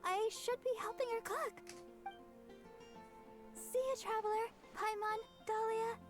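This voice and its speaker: A very high, girlish young game deaconess, shy and sweet. She speaks in a hesitant, flustered stammer over prominent background music. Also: fairly even intonation, measured pace. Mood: flustered, polite. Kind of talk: video game voice acting.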